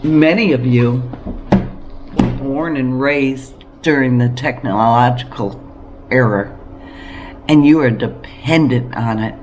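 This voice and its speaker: A woman talking casually to camera about practical living as a homesteading vlogger, encouraging and emphatic, with deliberate pauses. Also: a bass voice, background noise. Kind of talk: casual preparedness talk to camera.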